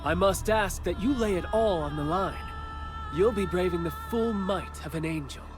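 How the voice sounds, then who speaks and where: A man's voice: sits high, normal speed, natural voice. An actor playing a theatrical young male god of war and wind in a video game dub, with grandiose, poetic speech. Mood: reassuring, proud. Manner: grandiose, poetic, formal proclamations. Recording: voice over background music.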